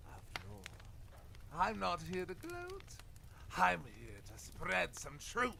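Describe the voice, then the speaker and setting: A man's voice: sits high, huge pitch swings, slow pace, creaky, sharp tone, exaggerated voice. A man playing a gleeful, sinister clown villain in an audio drama, theatrical and taunting. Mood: taunting, triumphant.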